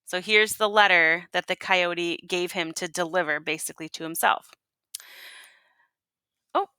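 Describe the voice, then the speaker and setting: A woman teacher reading a storybook aloud to children and pausing to ask them questions. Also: on the low side, sharp tone, clear, resonant tone, dry recording.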